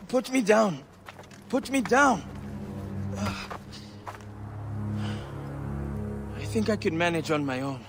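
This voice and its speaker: An actor playing a proud, loyal man of ancient Egypt in a video game, with an earnest, expressive delivery. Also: sits high, unhurried, exaggerated voice. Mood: strained, stubborn. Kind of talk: dramatic video game acting. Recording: faint music behind the voice.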